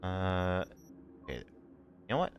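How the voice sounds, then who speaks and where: A man streaming a game, talking in a rambling, halting way with many fillers, his voice a bit rough and strained. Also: low-pitched, sing-song, a little hiss or hum. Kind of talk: casual gameplay commentary.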